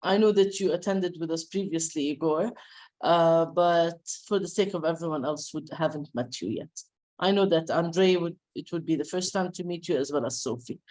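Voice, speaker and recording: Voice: medium pitch, moderate pace, a little mellow. A person hosting a video meeting, politely inviting each attendee to introduce themselves. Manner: polite, guiding the turns. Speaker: meeting host. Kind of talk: moderating a video call. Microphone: video call.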